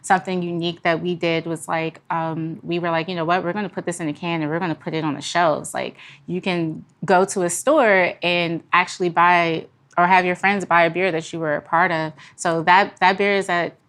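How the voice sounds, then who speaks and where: Give this voice to a young woman guesting on a casual podcast. Her pitch sits a little low for a woman. She talks informally and haltingly, with a giggly streak. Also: fairly even intonation, fairly bright tone. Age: young adult. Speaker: podcast guest, brewery founder. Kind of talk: casual chat interview.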